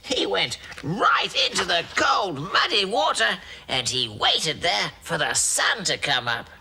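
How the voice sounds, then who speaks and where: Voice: mid-range voice, sing-song, measured pace, pushed and bright, a little hiss or hum, exaggerated voice. A performer playing a children's storyteller, telling a grim tale with exaggerated, sing-song relish. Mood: storytelling.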